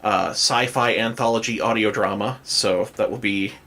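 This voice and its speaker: A man's voice: fairly low pitch, fairly even intonation, moderate pace, sharp tone. A man chatting casually as a podcast host, with a joking, self-deprecating manner.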